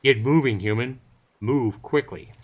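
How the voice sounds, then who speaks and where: A man's voice: medium pitch, measured pace, close to an everyday voice. A man voicing a haughty, threatening male elf scout in a visual novel, curt and commanding.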